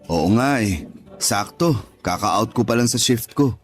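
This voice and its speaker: Low-voiced, kindly Filipino nurse. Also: male voice, lively intonation, a little slow. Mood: kind, easygoing. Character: hardworking male nurse, kind, easygoing, dutiful. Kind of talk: Tagalog radio drama acting.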